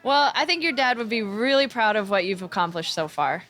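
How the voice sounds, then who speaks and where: A woman's voice: medium pitch, lively intonation, fairly quick, fairly bright tone, lightly acted. An actress playing a friendly, curious young female wrestler in casual video game cutscene dialogue. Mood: curious, warm.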